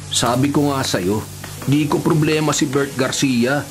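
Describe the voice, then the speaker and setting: An actor playing a big, steady male cell leader who speaks calmly and with authority in a radio drama. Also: medium pitch, unhurried, close to an everyday voice. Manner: calm, firm, authoritative. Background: music.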